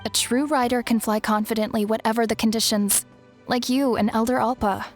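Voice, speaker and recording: A woman's voice: fairly high pitch, steady tone, fairly quick, soft background music, close to an everyday voice. A woman playing a self-doubting, resigned young woman who speaks hesitantly, in an English game dub.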